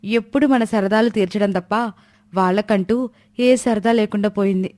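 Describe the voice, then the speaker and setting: A caring, long-suffering housewife voiced by a woman at a medium pitch. The recording is dry and close, in the manner of a storybook family drama. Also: moderate pace, mellow, no echo, close to an everyday voice. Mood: sympathetic.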